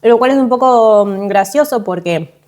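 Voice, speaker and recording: A woman's voice: a little high, moderate pace, a little mellow. A woman in her thirties with an Argentine accent chatting casually to camera at home as a vlogger, full of fillers and restarts. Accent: Argentine Spanish. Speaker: vlogger and mother. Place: at home, indoors.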